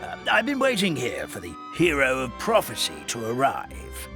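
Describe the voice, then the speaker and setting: A man's voice: mid-range voice, wildly swooping pitch, moderate pace, music in the background. A man voicing a forgetful, fussy old hamster elder in a video game, courtly and dithering. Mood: nervous politeness.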